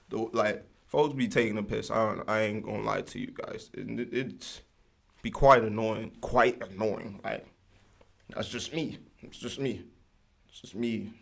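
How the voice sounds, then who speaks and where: A man's voice: lowish voice, gravelly. A man hosting a livestream in casual, rambling slang with lots of fillers. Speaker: livestream host.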